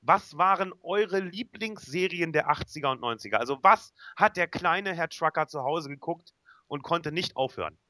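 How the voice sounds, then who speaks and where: A man's voice: on the high side, expressive, normal speed, pushed and bright, no room sound. A man, hosting a casual podcast chat. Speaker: podcast host.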